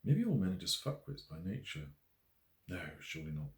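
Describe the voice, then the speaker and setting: A man reading a novel as its author in a measured, literary way with expressive emphasis. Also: deep voice. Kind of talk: scripted audiobook narration. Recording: roomy, echoey voice recording.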